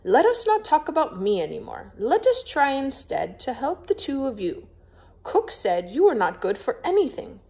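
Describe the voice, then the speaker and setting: A woman's voice: sits high, huge pitch swings, moderate pace, a little mellow, pitched above the performer's own voice, full character voice. A woman voicing a proud, stiff young lady in a storybook, with a prim, formal, scolding manner. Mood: stiff, hurt.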